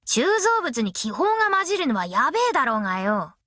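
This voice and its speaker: Brash, high-pitched female smith. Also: big pitch swings, a little fast, pushed and bright, no echo, studio quality, exaggerated voice. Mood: cocky, scolding. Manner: rough, cocky, tomboyish colloquial speech. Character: brash, easygoing, long-lived female master metalsmith. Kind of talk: anime mobile game character voice lines.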